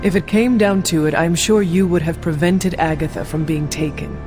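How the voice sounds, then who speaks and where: A man's voice: on the high side, fairly even intonation, moderate pace, a little mellow, natural voice. A man playing a reserved, supportive young warrior in a video game cutscene, terse and earnest over music. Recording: music behind the voice.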